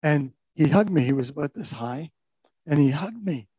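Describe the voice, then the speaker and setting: An older man, a pastor, recounts his own story in a warm, mellow voice. He talks reflectively, with small restarts along the way. The sound is close and dry. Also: fairly high pitch, expressive, moderate pace, husky, no room sound, poor audio. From Canada. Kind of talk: personal testimony talk.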